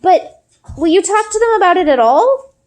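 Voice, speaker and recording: A woman's voice: very high voice, expressive, normal speed, a little mellow, voice pushed up. A woman voicing an earnest, anxious young girl from a storybook, in a pleading, insistent manner.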